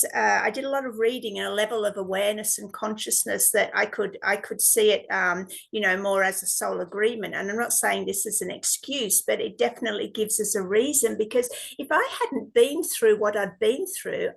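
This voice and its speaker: A woman's voice: mid-range voice, moderate pace, creaky, hardly any pauses, slightly rough. A woman hosting an interview, speaking in a reflective way with spiritual phrasing. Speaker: podcast host. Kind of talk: casual interview talk.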